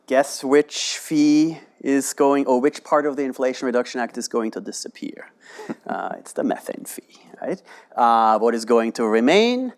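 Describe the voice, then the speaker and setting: A man moderating a panel discussion at a lecture hall, talking in a lively, animated way and often checking in with "right?". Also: medium pitch, a little slow. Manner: animated, asks rhetorical questions, often adds "right?".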